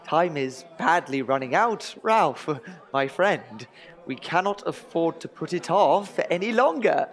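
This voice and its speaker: An anxious Tudor courtier in a comic audio drama. He is exasperated and theatrical, stretching words slowly for emphasis, with a widely swooping pitch. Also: animated, some chatter behind. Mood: urging.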